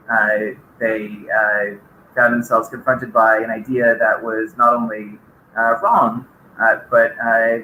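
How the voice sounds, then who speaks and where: Middle-aged guy from the bahamas, lots of uhs, bright voice, echoey room. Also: mid-range voice, slight background noise. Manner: hesitant, many filler "uh"s. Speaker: conference speaker. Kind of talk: conference talk.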